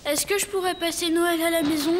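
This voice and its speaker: A woman's voice: very high voice, fairly even intonation, brisk, a little hiss or hum. A woman voicing a plaintive, homesick little male polar bear cub in a children's cartoon.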